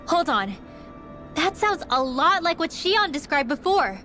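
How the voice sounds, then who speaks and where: A woman's voice: high voice, sing-song, measured pace, sharp tone, soft background music, heavily acted. A woman playing an anxious young fantasy heroine in a video game cutscene. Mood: worried.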